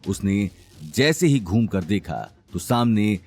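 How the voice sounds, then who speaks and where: Animated male drama narrator over music. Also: on the low side, takes it slowly, breathy edge. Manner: dramatic, expressive. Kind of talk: scripted audio drama narration in Hindi.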